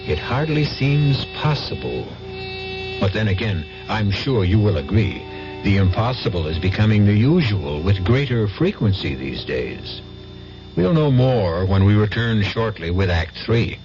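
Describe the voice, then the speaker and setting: A man hosting a radio mystery drama with measured, theatrical, ominous scripted narration. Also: on the low side, sing-song, music in the background. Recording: dull old radio recording.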